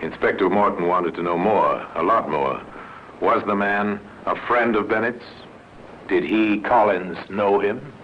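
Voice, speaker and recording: Deep male storyteller for radio crime narration, terse and dramatic, on a noisy vintage recording. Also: unhurried. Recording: muffled old radio recording with noise.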